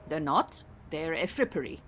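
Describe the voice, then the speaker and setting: An actress playing an exacting Iranian refugee mentor in an audio play, speaking formally and precisely with dry, bossy authority. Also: female voice, low-pitched, lively intonation, moderate pace, fairly bright tone. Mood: stern, commanding. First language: Farsi. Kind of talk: audio theatre acting.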